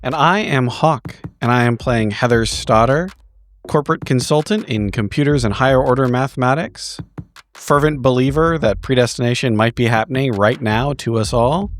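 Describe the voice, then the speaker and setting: A man giving a dramatic, improvised story recap as a tabletop roleplaying player, with wry, deliberate phrasing and pauses. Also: mid-range voice, faint music behind.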